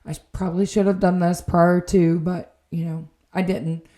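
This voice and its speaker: A woman records a late-night voiceover for her garden vlog, and her voice sounds a little raspy and tired. She rambles easily and fills gaps with ums. Her voice sits on the low side and echoes in the room. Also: little pitch movement, moderate pace, mellow. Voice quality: slightly raspy. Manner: rambling, many ums, self-correcting. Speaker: home and garden vlogger. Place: indoor room at home, voiceover.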